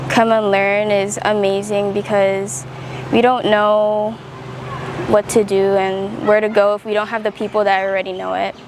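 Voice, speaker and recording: Woman with flat intonation, noisy background. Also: medium pitch. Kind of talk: casual interview testimonial.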